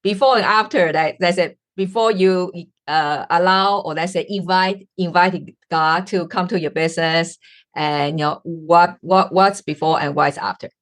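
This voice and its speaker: A woman's voice: lowish voice, steady tone, measured pace. A woman from Taiwan, hosting an interview podcast and searching for her words as she speaks. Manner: searching for words, with many fillers. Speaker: podcast host.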